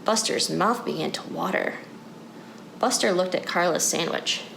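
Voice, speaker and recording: A woman's voice: lowish voice, animated, normal speed, fairly bright tone, reverberant, rough recording. A woman reading a children's storybook aloud as a narrator. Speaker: story reader. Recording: clear background noise.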